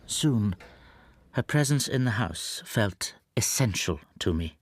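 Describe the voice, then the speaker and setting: An actor in a radio drama playing a meek, anxious middle-aged bachelor, speaking hesitantly and confidingly. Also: male voice, lowish voice, animated, slow pace. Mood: subdued, confiding. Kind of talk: radio drama acting with intimate first-person narration.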